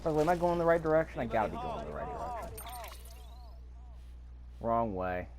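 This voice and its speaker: A man's voice: mid-range voice, very animated, a little fast, crowd noise. A man giving casual let's-play commentary, rambling and thinking aloud as he goes. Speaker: gameplay video host. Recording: clear background noise.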